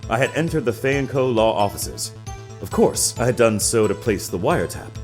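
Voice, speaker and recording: An actor playing a smug, affected detective agency boss on the witness stand in a video game drama, pompous and flowery. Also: male voice, medium pitch, animated, moderate pace, a little mellow, faint music behind, close to an everyday voice. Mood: self-assured. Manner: pompous, flowery, self-satisfied.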